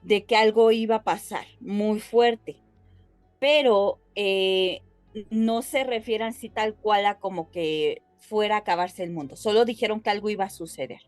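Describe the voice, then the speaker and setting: A woman's voice: medium pitch, unhurried, darkish tone, no room sound, faint music behind. A woman co-hosting a podcast, explaining things casually and addressing listeners directly. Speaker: podcast co-host.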